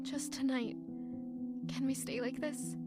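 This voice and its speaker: An actress playing a young woman in love who also narrates, speaking softly and yearningly in an audio drama. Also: high-pitched, fairly even intonation, a little slow. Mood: wistful. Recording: loud music underneath.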